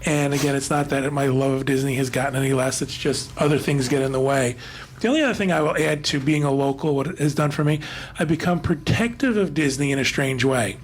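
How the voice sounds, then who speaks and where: A man's voice: mid-range voice, brisk. A man chatting casually as a panelist on a podcast. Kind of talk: casual chat.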